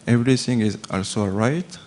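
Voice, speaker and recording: A man from France in his thirties, with a French accent, asking a question haltingly in a temple hall. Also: medium pitch, darkish tone. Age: 30s. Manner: hesitant, halting, many fillers and restarts. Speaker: audience questioner.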